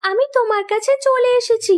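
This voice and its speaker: Woman acting a very high-voiced, determined young wife. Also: fairly even intonation, normal speed. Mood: earnest, insistent. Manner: earnest, insistent. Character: determined, loving young landlord's daughter turned farmer's wife. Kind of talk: cartoon story voice acting.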